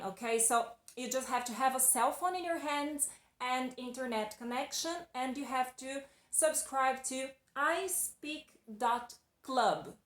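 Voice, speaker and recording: A woman's voice: high-pitched, a bit bright, echoey room. A Brazilian woman with a Brazilian accent, speaking English as a second language, giving a chatty, encouraging English lesson. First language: Portuguese. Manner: chatty, encouraging, restarts phrases often. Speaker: English teacher. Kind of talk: casual video lesson.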